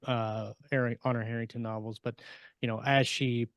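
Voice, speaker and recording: A middle-aged man discussing books casually as a podcast co-host, explaining in a halting, measured way. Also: medium pitch, little pitch movement, no room sound, studio quality. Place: indoor room on a video call.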